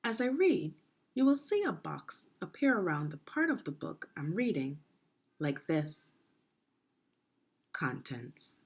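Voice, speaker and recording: A woman reading a children's story aloud in a deliberate, expressive teaching manner, putting questions to the listener. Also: deep voice, vocal fry, breathy edge. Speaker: education consultant reading a children's book aloud.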